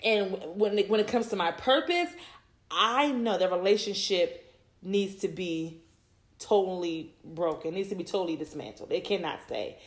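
A woman's voice: medium pitch, moderate pace. Thirty-something woman, rambly faith talk, lots of you knows and restarts. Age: 30s. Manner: rambling, repeats words, many "you know"s. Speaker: podcast host. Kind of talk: faith-based personal talk.

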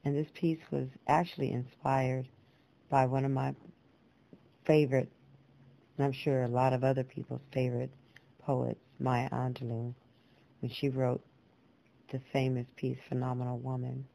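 A woman spoken word poet and storyteller addressing listeners deliberately, in short phrases with pauses between them. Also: extremely low pitch, flat delivery, vocal fry, warm tone, low-quality recording. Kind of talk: spoken word performance with personal introduction.

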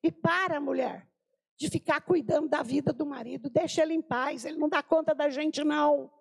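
A woman's voice: high-pitched, a little fast, gravelly. An older Brazilian woman giving an informal sermon to women, telling anecdotes and acting out the people she quotes. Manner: animated, acts out quoted voices, exclaims. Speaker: preacher at a women's gathering.

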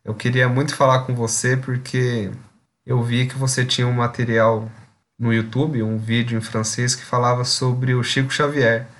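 A man hosting a podcast, reading sponsor plugs and shout-outs and then interviewing a guest in a friendly way. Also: medium pitch, flat delivery, normal speed. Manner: friendly, upbeat promo reading. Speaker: podcast host.

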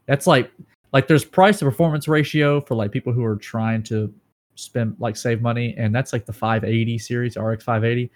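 A man co-hosting a casual gaming news podcast, talking excitedly about PC hardware and joking with his co-host. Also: mid-range voice, moderate pace. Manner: excitable, breaks into dramatic movie-quote impressions.

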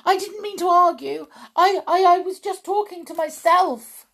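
A woman's voice: very high-pitched, moderate pace, gravelly, fairly bright tone, pitched above the performer's own voice, heavily acted. A woman voicing a wretched underling witch in an audiobook, terrified and grovelling as she pleads. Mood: frightened, apologetic. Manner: stammering, pleading.

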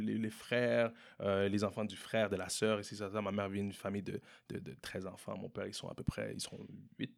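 A young man from Montreal with a Québécois French accent, answering interview questions in a relaxed way, often repeating and restarting words. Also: fairly low pitch, vocal fry. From Montreal, Quebec. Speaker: entrepreneur guest. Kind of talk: casual interview conversation.

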